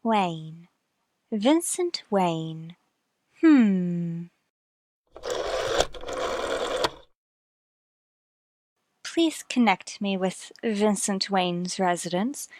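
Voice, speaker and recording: A woman's voice: mid-range voice, animated, very slow, background noise. A woman acting as a guarded, secretive widow in a radio mystery. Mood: uneasy, shocked. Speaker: actor.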